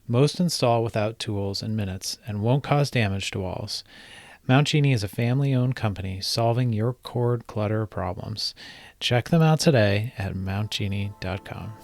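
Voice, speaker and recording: A man's voice: lowish voice, normal speed, darkish tone, no echo. A man hosting a podcast, reading an ad and interviewing a guest in a friendly, encouraging way. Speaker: podcast host.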